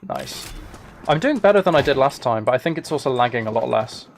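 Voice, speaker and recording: A man's voice: mid-range voice, lively intonation, brisk, soft background music. A man giving casual, chatty, self-deprecating commentary while he plays a game on a live stream. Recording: game gunfire and clicks behind voice. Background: video game gunfire, clicking.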